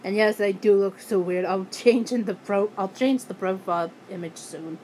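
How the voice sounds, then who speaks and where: A woman ranting angrily in a vlog, hoarse and losing her voice, often breaking off and restarting her sentences. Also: mid-range voice, normal speed, a little mellow, a little hiss or hum, low-quality recording. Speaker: YouTuber.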